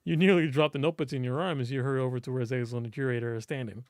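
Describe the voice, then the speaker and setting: A man's voice: mid-range voice, steady tone, rapid-fire, darkish tone, no room sound, clean studio sound. A man reading visual novel narration aloud expressively, as a let's player and voice actor would.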